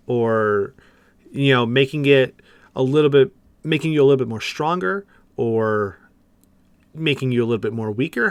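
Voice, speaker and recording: A man's voice: medium pitch, fairly bright tone, clean tone, dry recording. A man co-hosting a podcast, chatting casually about a video game in loose, searching phrasing with repeated words. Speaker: podcast co-host.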